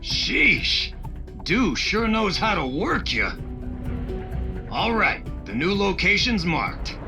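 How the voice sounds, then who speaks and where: A breezy animal logistics operator from a video game, loyal and wry. His folksy drawl is high and unhurried with a gravelly creak, and music plays clearly behind. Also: sing-song, full character voice. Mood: exasperated.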